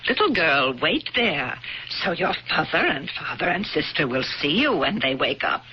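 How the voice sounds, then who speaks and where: A grim, determined woman out for vengeance, speaking with deliberate, bitter sarcasm and formal words. It is 1970s radio drama, dull in tone with faint hiss behind it. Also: low-pitched, big pitch swings. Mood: bitter, haunted. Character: grim, determined woman avenging her murdered family. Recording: dull, noisy old radio broadcast.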